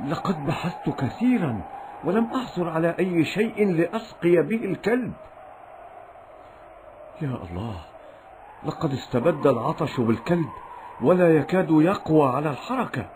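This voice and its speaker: An actor playing a pious, kind-hearted desert traveler in a children's cartoon, with a theatrical, exclamatory delivery. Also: male voice, fairly high pitch, animated, normal speed, pauses often, noisy background, close to an everyday voice. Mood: pitying, worried. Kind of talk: Islamic children's cartoon acting in formal Arabic.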